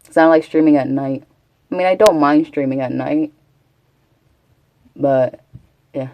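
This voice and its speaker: A woman's voice: sits low, measured pace, highs cut off, a little hiss or hum. A chatty woman from Texas, rambling casually as a live streamer and answering her chat. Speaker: Twitch streamer.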